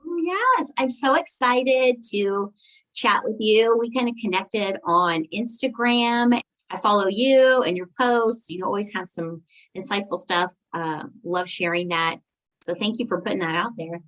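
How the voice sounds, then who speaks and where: A woman hosting a podcast interview, eager and quick to affirm her guest. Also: medium pitch, fairly bright tone. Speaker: podcast host. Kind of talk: casual interview. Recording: muffled lo-fi audio.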